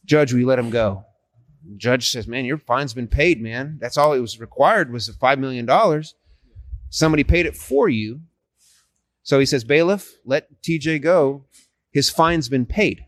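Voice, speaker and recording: A man's voice: mid-range voice, normal speed, dry recording. Man in his 40s, street evangelist, earnest and persuasive, dry close sound. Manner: earnest and persuasive, frequent restarts. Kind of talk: casual street conversation.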